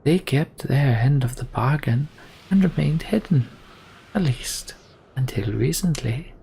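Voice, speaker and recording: A man voicing a sly woman storyteller in a video game, theatrical and measured with hushed, breathy phrasing. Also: medium pitch, lively intonation, a little hiss or hum, pitched above the performer's own voice. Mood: theatrical, knowing.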